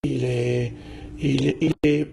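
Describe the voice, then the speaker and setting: A man from Algeria, president of a charity, talking as a guest in a TV studio. He is congested from the flu, and he speaks haltingly with many restarts and fillers. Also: mid-range voice, steady tone, creaky, warm tone, a little hiss or hum. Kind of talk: casual chat.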